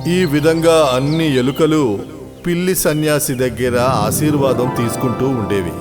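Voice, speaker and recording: Male narrator, children's story, scripted delivery, mid-pitched, music behind. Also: unhurried.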